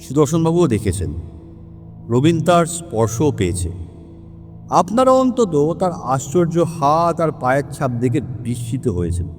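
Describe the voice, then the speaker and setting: Man acting as learned detective, animated high voice, reverberant. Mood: grave, measured. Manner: deliberate, emphatic, expository. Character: male private detective, learned, methodical, explaining a supernatural case. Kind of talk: dramatized audio story monologue. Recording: long hall reverb, music underneath.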